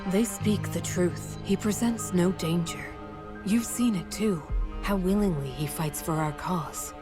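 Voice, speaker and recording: A woman playing a calm, wise, curious noblewoman in a video game, speaking with formal composure. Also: lowish voice, a little slow, over music, lightly acted. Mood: calm assurance. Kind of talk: video game cutscene acting.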